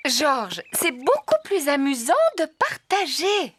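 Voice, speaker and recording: A patient, kindly mother pig from a children's cartoon. Her voice sits high and moves in lively swoops as she explains things to little ones. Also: takes it slowly, slight background noise, full character voice. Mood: gentle. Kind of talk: French children's cartoon dub.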